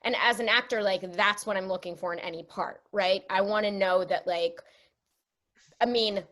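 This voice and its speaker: An animated woman with a mid-pitched voice, the chatty actress guest on a fan podcast explaining her craft. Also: moderate pace. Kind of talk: casual interview chat.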